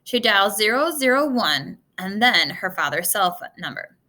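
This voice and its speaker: A woman reading a children's adventure novel aloud in an expressive way, occasionally stumbling over a word. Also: mid-range voice, normal speed. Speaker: storybook reader.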